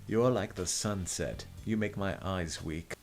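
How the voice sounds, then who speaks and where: A sly, lecherous duke, acted by a man for an audio storybook, with an extremely low voice. He sweet-talks and flirts insincerely, with music underneath. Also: a little slow, darkish tone. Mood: seductive. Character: sly, lecherous, smooth-talking villainous duke. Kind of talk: audio drama acting.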